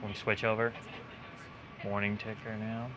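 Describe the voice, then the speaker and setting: A man's voice: lowish voice, monotone, normal speed, pushed and bright, a little hiss or hum. A man directing a live newscast, calling out clipped cues and muttering short asides, with programme audio playing underneath. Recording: control room with programme audio behind.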